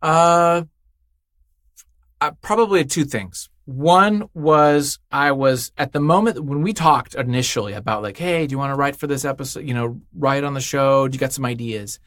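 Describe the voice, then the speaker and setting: A man's voice: mid-range voice, moderate pace, fairly bright tone, no room sound, studio quality. A man chatting casually as an interview guest, telling things in a rambling way and acting out imagined quotes. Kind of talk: casual chat.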